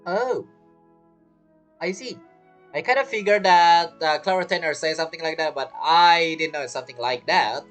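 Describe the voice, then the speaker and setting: Woman, low pitch, casual gameplay reaction commentary, streamer, faint music behind. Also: normal speed.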